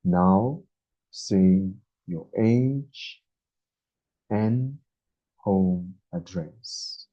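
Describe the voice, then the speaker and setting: Male teacher in his twenties, slow and deliberate, dark husky tone, dull lo-fi sound. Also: sits low. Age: 20s. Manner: very slow, deliberate, repeats each number. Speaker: English teacher. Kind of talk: beginner English lesson. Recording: dull lo-fi recording.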